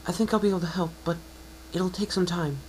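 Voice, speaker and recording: A man's voice: high-pitched, fairly even intonation, quick pace, a little hiss or hum, voice pushed up, natural voice, low-quality recording. A man voicing a kind, studious young female unicorn pony in an amateur story reading. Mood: gentle, hopeful.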